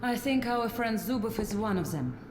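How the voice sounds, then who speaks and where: A woman's voice: on the high side, lively intonation, normal speed, echoey room, very noisy, natural voice. An actress playing a determined, secretive female security chief in a video game cutscene, commanding and matter-of-fact. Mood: grave, matter-of-fact. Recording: music playing behind the voice.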